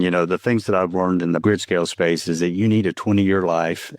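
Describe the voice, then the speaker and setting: A man's voice: deep voice, no echo, clean studio sound. A man in his fifties from Texas with a light Texan accent, a company founder explaining his work earnestly and steadily in a podcast interview.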